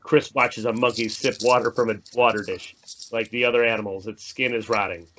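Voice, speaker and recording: A man's voice: medium pitch, steady tone, a little fast, fairly bright tone. A man reading out the stage directions of a horror screenplay as narrator at a table read. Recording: slightly dull mic, faint noise.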